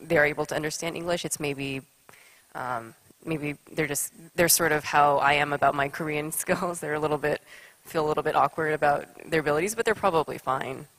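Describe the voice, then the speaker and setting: A woman speaking as a panelist, matter-of-fact and measured, with frequent ums between thoughts. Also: deep voice, fairly bright tone, poor audio. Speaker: accelerator partner on a panel. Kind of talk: conference panel discussion.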